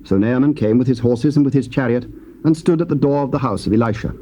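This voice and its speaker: An English man reading Bible narration in a steady, formal way on a lo-fi tape. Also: medium pitch, quick pace, slight background noise. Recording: dull lo-fi tape.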